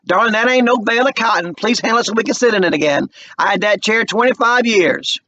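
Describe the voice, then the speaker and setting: A man's voice: high-pitched, bright, forceful tone, highs cut off, pitched above the performer's own voice. A man doing a bossy old matriarch. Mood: fussing. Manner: folksy, scolding, brisk orders. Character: older woman, family matriarch, bossy and warm.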